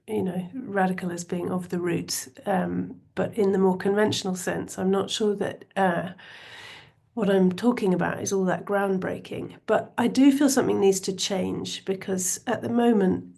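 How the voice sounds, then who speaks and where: Middle-aged woman, panel speaker, croaky from a cold, gravelly edge, hesitant fillers, video call. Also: medium pitch, darkish tone. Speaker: panel speaker from a health and arts alliance. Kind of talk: online panel talk.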